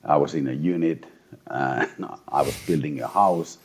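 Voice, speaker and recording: Very deep-voiced tired Finnish man. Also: breathy edge. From eastern Finland. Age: 40s. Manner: blunt, swears, halting restarts. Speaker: guest. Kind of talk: casual interview talk.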